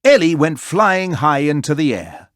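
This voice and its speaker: Slow, animated children's storyteller. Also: mid-range voice, dry recording, clean studio sound. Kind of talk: children's story read-aloud.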